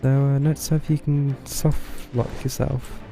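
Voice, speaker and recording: Dry man, gravelly creak. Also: medium pitch, level tone, measured pace, mellow. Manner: dry, muttering to himself. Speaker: game streamer. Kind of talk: casual live gameplay commentary. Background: video game music.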